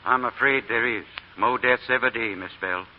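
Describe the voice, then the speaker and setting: An actor playing a grave, folksy family doctor, speaking earnestly in a 1940s radio drama. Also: male voice, mid-range voice, brisk, close to an everyday voice. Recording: dull, noisy 1940 radio recording.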